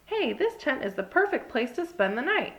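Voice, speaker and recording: A woman voicing a bright, easygoing little boy bear cub in a children's storybook read-aloud. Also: high-pitched, big pitch swings, normal speed, pitched above the performer's own voice, exaggerated voice.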